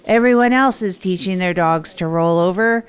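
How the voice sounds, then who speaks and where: A woman's voice: lowish voice, moderate pace, smooth, lightly acted. Earnest, rambling woman playing a hapless comic storyteller. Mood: eager, nervous performing. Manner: rambling, earnest, self-deprecating. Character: Tog, hapless adult storyteller and failed stand-up comedian. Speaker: narrator and radio host. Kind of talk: improvised comic monologue in an audio drama.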